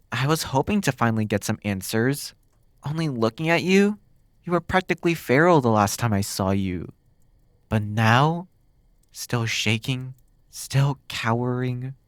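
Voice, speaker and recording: A man voices a smug demon captor in an ASMR roleplay. He is flirty and teasing, musing with pauses at a middling pitch. The sound is dry and close to the mic. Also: no room sound, natural voice. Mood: musing, probing.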